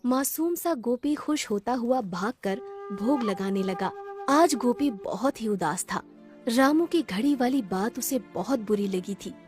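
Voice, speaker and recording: A woman's voice: fairly high pitch, expressive, unhurried, over music. A woman narrating a scripted children's story.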